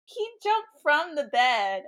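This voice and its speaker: A young woman with a girlish, somewhat high voice co-hosts a relaxed podcast. She tells animated stories and giggles easily between lines. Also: slow. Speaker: podcast co-host. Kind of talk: casual chat.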